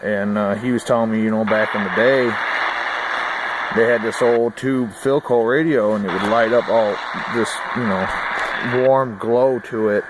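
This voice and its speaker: A man's voice: lowish voice, steady tone, measured pace. An American man chatting casually to camera in a laid-back, folksy, hesitant way, like a rambling hobbyist. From United States. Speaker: hobbyist vlogger. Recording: noisy amateur camera audio.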